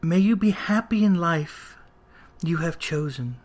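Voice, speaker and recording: A narrator voicing a gentle, dignified young woman who speaks with earnest sorrow and resolve in an old storybook drama. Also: mid-range voice, lively intonation, measured pace. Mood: pained resolve. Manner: earnest, soft-spoken, dignified.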